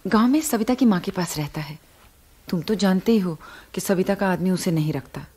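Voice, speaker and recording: A woman's voice: lowish voice, moderate pace, dark tone, husky. A woman acting a caring, principled middle-class wife and mother in a radio drama, earnest and argumentative. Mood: persuading, indignant.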